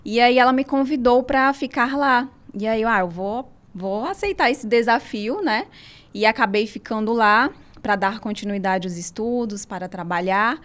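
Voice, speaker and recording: A woman guest physiotherapist with a Northeastern Brazilian accent who explains things in a measured way and often ends sentences with tag questions. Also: a little high, a bit bright. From Brazil, Ceará. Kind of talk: interview answers.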